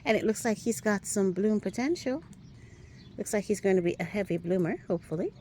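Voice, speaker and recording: A woman giving a casual walking tour of her garden outdoors, chatty and upbeat, a little hoarse, often asking "right?" as she films on a handheld phone. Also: medium pitch, animated, fairly quick, warm tone, a little hiss or hum. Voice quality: a little hoarse, clears throat. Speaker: garden vlogger.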